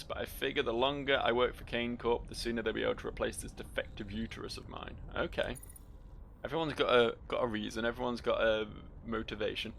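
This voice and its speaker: Wry, creaky-voiced male gamer commentating. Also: mid-range voice, fairly bright tone. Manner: wry, sweary, reads game text aloud. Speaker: gaming video host. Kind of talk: casual game playthrough commentary. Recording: faint noise, game ambience.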